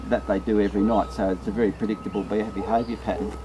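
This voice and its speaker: A man's voice: lowish voice, little pitch movement, fast talker. A man giving an informal, practical field explanation in a plain-spoken manner, like a trainer showing people the job. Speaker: rabbit control trapper and trainer. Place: outdoors on rural land. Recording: clear outdoor rural background noise.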